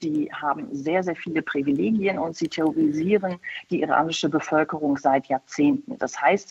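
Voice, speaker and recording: Husky-voiced female professor explaining on radio. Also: low-pitched, highs cut off. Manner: earnest, explanatory, lively tempo shifts. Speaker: professor of Islamic studies, expert guest.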